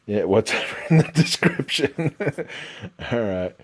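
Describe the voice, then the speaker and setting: A man's voice: mid-range voice, animated, slow, a little mellow, husky. A middle-aged man giving a casual, plain-spoken hands-on narration of a repair, thinking aloud informally. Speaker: amateur radio restorer demonstrating a repair. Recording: music playing from a radio behind. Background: music from a vintage radio being tested.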